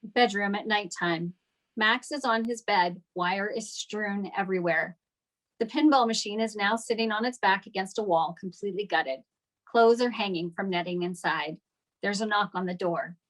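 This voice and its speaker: A woman's voice: mid-range voice, normal speed, a bit bright, rough recording. A woman narrating scripted stage directions at a table read.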